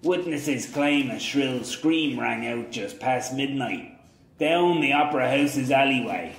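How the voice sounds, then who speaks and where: A man playing a gruff, cynical nineteenth-century police captain in an audio drama, with a deliberate, sardonic delivery. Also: mid-range voice, echoey room, slight background noise, close to an everyday voice, rough recording. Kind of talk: audio drama, reading aloud and noir narration.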